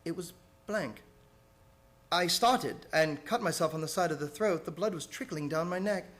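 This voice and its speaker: A man acting an anxious gentleman held prisoner, speaking in earnest, formal, dramatic fashion in an old-time radio horror play. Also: fairly high pitch, moderate pace, very noisy, close to an everyday voice. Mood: uneasy, grave. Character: anxious English gentleman, prisoner narrating his diary.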